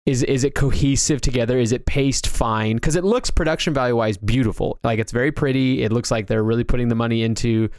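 A man's voice: medium pitch, fairly quick, few pauses, darkish tone, clear, resonant tone, no room sound, clean studio sound. A man hosting a casual pop-culture discussion, talking in a loose, rambling way and often repeating himself. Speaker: podcast host. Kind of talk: casual discussion of video game movies.

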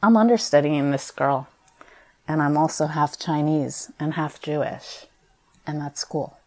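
Young American female performer, low register, expressive first-person storytelling, conversational read. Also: a little slow, soft background music. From United States. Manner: expressive, conversational, acts out quoted dialogue. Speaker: stage performer. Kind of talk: reading a personal essay aloud, storytelling.

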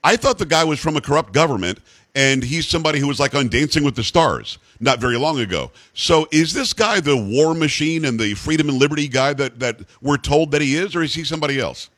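Brisk male radio host, plain mid-range voice, bone-dry studio sound, blunt and folksy. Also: on the high side, expressive, a bit bright, dry recording. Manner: blunt, folksy, rapid questioning. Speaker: radio talk show host. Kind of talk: political talk radio interview.

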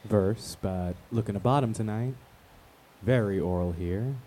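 Guy playing moody radio host in an audio drama, short slow answers, slightly noisy recording. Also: mellow, lightly acted. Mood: guarded flirting. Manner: terse, low-key, clipped replies. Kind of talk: radio play acting. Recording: some background noise.

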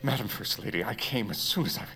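An actor playing an oily, solicitous political rival with a breathy, husky voice in an audio drama. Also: male voice, mid-range voice, fast, bright, forceful tone, faint music behind. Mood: solicitous, insincere.

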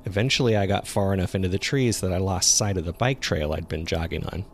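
A man playing a jogger who recounts a frightening experience in the woods, as scripted first-person horror narration told in a measured, suspenseful way. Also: deep voice, slight background noise, lightly acted. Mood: measured, uneasy. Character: local male jogger recounting a terrifying discovery in the woods. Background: ambient sound effects.

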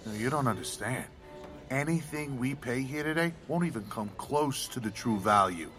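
Guy playing a pushy american dad, middle aged, average pitch, obsessive and hot-headed, radio play style. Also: lively intonation, moderate pace, music in the background, close to an everyday voice. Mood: insistent. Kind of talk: audio drama acting.

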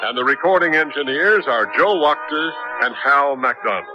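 A man's voice: mid-range voice, expressive, moderate pace. A man reading scripted credits as announcer for a 1970s radio theater. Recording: dull 1970s radio recording with music.

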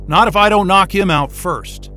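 A man's voice: high voice, normal speed, pushed and bright, soft background music. An actor playing a frantic, exhausted and angry station crewman in a science-fiction audio drama, agitated, sweary and stammering. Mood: defiant, angry.

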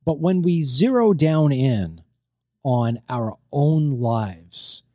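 A man's voice: mid-range voice, expressive, extremely slow, mellow, no room sound, clean studio sound. A middle-aged man hosting a casual talk show, chatty and rambling, his voice strained as it recovers from being lost. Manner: chatty, rambling, repeats himself. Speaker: host.

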